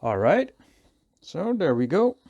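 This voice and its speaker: A man with a Dutch accent, calmly thinking aloud as he works through a hands-on repair tutorial. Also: on the high side, slow, gravelly, dull audio, slight background noise. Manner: calm, thinking aloud while working. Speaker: repair technician.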